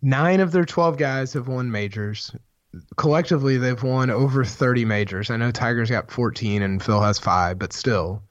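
A hoarse man who is losing his voice, co-hosting a sports podcast in casual, rambling chat full of restarts. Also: medium pitch, moderate pace, no echo. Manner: rambling, many restarts, excited. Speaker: podcast co-host, golf writer. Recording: dull, dry podcast mic sound.

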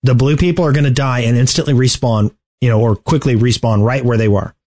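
A man hosting a podcast, casually discussing video game strategy in a halting, deliberate way. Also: mid-range voice, a little mellow. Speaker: podcast host.

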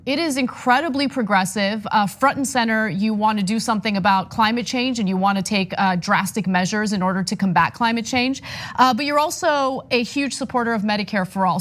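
A woman's voice: fairly high pitch, fairly bright tone. A woman hosting an interview, asking encouraging questions.

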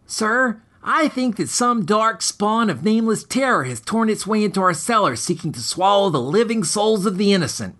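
A man's voice: sits high, lively intonation, fairly bright tone, voice pushed up. A man voicing an earnest, bookish youth from a gothic comic story, speaking formally and carefully in pompous phrases. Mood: grave alarm. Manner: formal, slow, careful diction.

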